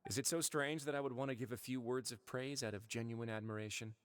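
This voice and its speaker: An actor playing a calm, dryly courteous prison warden who holds the title of duke. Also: male voice, mid-range voice, fast talker, clear, resonant tone, dry recording, lightly acted. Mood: wry.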